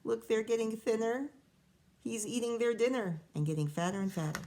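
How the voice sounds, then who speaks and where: A woman reading a rhyming picture book to children as their teacher, expressive, with casual explanatory asides. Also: a little high, brisk, slight background noise.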